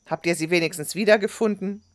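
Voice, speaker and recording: A stern old grandmother, voiced by a woman narrator, raised in pitch above the storyteller's plain voice. She scolds sharply and forcefully, quick to anger, in a storybook style. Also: no echo, close to an everyday voice. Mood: annoyed, scolding. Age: older.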